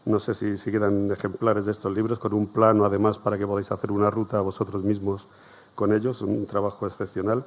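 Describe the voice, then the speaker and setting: A man's voice: fairly low pitch, completely flat delivery, fairly quick. A man hosting a history conference, speaking off the cuff to the audience with many fillers and repeated words. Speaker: conference coordinator and host. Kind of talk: spontaneous presentation to an audience.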